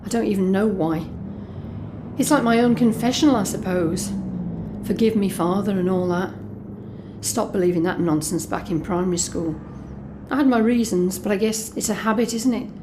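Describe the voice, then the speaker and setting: A woman's voice: medium pitch, normal speed, noisy background, close to an everyday voice. An actress playing a jaded, snobbish young British woman who confides private thoughts in a confessional, sardonic audio drama monologue. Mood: guarded, weary. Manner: confessional, sardonic, muttering asides to herself.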